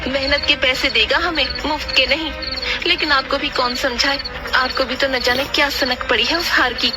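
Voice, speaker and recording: A woman's voice: high-pitched, steady tone, moderate pace, natural voice. A woman voicing an exasperated, nagging wife in a dramatised moral story, with questioning reproach. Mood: exasperated scolding. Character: exasperated scolding Indian wife of a goldsmith. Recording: loud music behind the voice.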